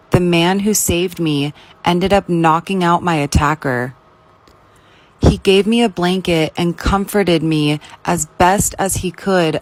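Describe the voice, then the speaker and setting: A woman reading a scripted first-person confession in a measured, plain, matter-of-fact manner. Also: fairly low pitch. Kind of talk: scripted narration of a read-out confession.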